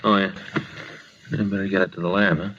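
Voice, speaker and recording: A man's voice: deep voice, little pitch movement, brisk, slightly rough. A man playing an American police detective's casual partner in a 1950s radio drama. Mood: businesslike. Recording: dull, noisy 1950s radio recording.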